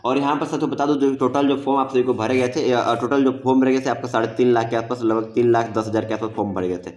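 A man's voice: medium pitch, steady tone, quick pace, darkish tone. A man giving an informal explainer, reassuring and repetitive as he addresses viewers directly. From India. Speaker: exam-prep video presenter.

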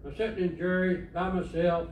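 A man's voice: sits high, level tone, brisk. An older man, preaching a sermon in a plainspoken, storytelling way from a church pulpit. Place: church sanctuary, indoors, standing at a pulpit. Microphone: far camera. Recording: echoey church from far off, faint hiss.

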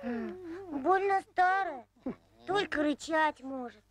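A woman's voice: very high voice, slow pace. An actress voicing a small, curious and earnest boy in a cartoon, with a childlike, inquisitive manner. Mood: innocent. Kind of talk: animated film dialogue.

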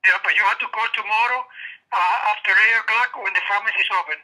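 High-voiced man on a tinny phone. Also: bright, forceful tone. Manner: halting, repeats words. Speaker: store employee taking a phone call. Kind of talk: customer service phone talk. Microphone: telephone. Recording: tinny telephone line.

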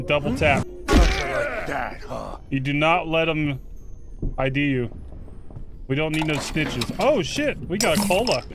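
A man giving casual, excitable let's play commentary, talking along with the action as he plays. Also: on the high side. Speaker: gaming video host.

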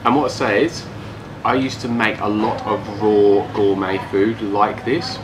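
A young English man with a fairly low voice chats casually as he reviews food between bites. The recording is from a camera across the table, with clear ambient noise behind him. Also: fairly even intonation, measured pace. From England. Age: young adult. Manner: talks while eating. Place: small room, seated at wooden table. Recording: noisy ambient room recording.

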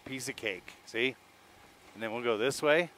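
Calm reassuring middle-aged man. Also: mid-range voice, moderate pace, slight background noise. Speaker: motorcycle travel vlogger. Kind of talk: casual chat. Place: outdoors, forest meadow. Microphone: helmet headset mic.

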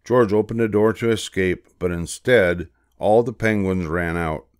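An older man narrates a children's storybook in a low, deep voice. He takes his time, reading expressively and with measured care. The sound is close and dry. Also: clean tone, dry recording.

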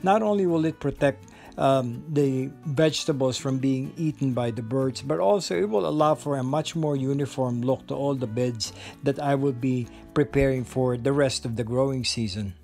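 A man narrates his vegetable garden with open enthusiasm. His voice sits at an ordinary middle pitch, and a faint guitar plays softly behind him. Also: moderate pace, vocal fry. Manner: enthusiastic, explanatory, with some fillers. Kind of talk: voice-over garden tour narration. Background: soft guitar music.

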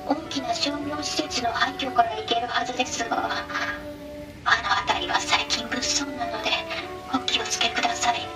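A woman voicing a gentle, courteous and helpful robot villager in a Japanese game. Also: fairly high pitch, steady tone, moderate pace, lightly acted. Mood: polite, helpful. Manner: courteous, soft-mannered. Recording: loud music behind.

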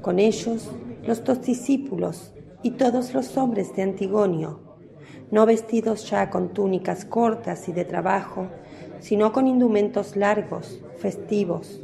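A woman narrates a religious radio play with a slow, unhurried delivery. Her tone is soft and dark, with some gravel in it, over background music. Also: medium pitch. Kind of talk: scripted radio drama narration of a religious story.

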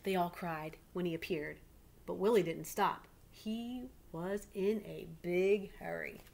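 A middle-aged woman reads aloud expressively like a teacher, pausing to prompt young listeners. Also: lowish voice, a little mellow. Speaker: teacher reading a picture book aloud to pupils. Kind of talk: read-aloud storytelling with questions to children. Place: small living room indoors. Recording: clearly noisy home webcam recording.

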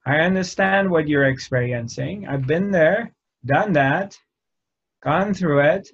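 A man in his fifties giving a slow, halting spiritual talk to camera, often restarting his phrases, recorded in a dark indoor room. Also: on the high side, husky. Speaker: spiritual teacher. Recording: dull lo-fi sound.

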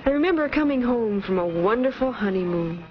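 A woman's voice: a little high, animated, a little fast, close to an everyday voice. An American actress in her twenties playing an earnest, devoted newlywed wife in a wholesome 1950s educational film style. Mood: wistful, reflective. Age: 20s. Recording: muffled vintage 1950s film soundtrack, music behind.

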